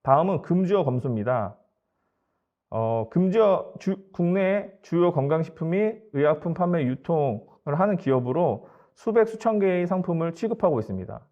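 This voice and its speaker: A man's voice: a little high, lively intonation, normal speed, dark tone, muffled recording. A man giving a formal, polite and matter-of-fact business tech presentation as a company presenter, explaining slides step by step.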